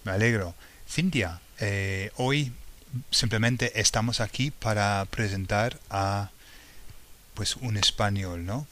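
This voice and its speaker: Slow, low-voiced man hosting a podcast. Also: a bit bright. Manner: hesitant, with many fillers and encouraging interjections. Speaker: podcast host and interviewer. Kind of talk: podcast presenting and interviewing.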